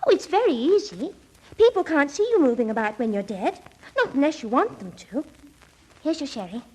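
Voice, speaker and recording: A woman's voice: sits high, big pitch swings, a little fast, mellow, poor audio. A woman playing an eerie, sweetly insistent young girl in a mid-century radio comedy, serene and coaxing.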